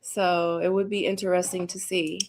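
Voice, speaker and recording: A woman's voice: lowish voice, flat delivery, normal speed, very noisy. Chatty woman co-hosting a live stream. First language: Korean. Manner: chatty, says "like" a lot. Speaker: co-host. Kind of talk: casual live-stream chat.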